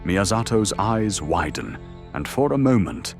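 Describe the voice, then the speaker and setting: Low-voiced guy narrating an anime drama, lots of swing in his delivery, music under him. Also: very animated, measured pace, vocal fry.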